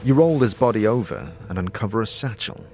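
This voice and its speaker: A man reading scripted second-person fantasy narration as a game master, in a measured, dramatic storytelling manner. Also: fairly low pitch, lively intonation, a little mellow. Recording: background music.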